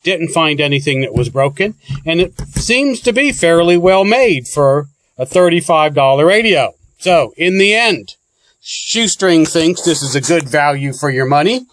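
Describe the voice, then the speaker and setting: Higher-pitched man reviewing a product. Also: lively intonation, measured pace, fairly bright tone, no room sound. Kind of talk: informal product review walkthrough.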